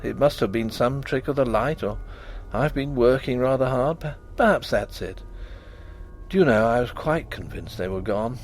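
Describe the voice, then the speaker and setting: A man's voice: medium pitch, expressive, normal speed, lightly acted. A man voicing a frightened young husband who has seen something he cannot explain, speaking in an agitated, pleading way. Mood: sheepish. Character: frightened young husband, frantic then sheepish.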